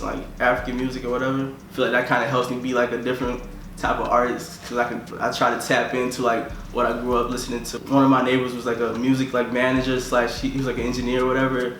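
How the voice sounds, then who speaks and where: A man's voice: mid-range voice, a bit bright, roomy sound, a little hiss or hum. A young man from Tanzania, a musician talking casually in an interview and filling nearly every phrase with "like".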